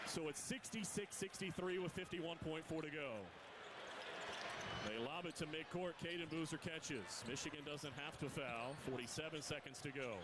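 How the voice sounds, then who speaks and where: Excitable male play-by-play caller. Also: fairly high pitch. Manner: rapid, excitable calls rising with the action. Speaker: play-by-play commentator. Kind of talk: live radio basketball play-by-play. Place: courtside in an arena. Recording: noisy live arena broadcast. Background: loud crowd.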